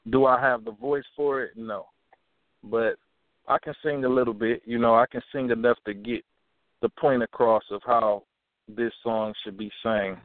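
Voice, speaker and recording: A young man from Milwaukee, guest on an interview, talking in a relaxed, halting way with many restarts and "you know"s. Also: medium pitch, dry recording. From Milwaukee, USA. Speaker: rapper and actor guest. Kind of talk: casual interview talk. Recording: tinny phone-like sound, dry.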